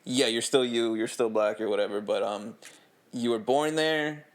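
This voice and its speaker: A man's voice: mid-range voice, moderate pace. A young man in an easygoing podcast conversation. He sets up hypotheticals in an ordinary mid-range voice and slips in wisecracks. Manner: poses hypothetical questions, joking asides. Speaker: podcast co-host. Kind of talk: casual podcast banter.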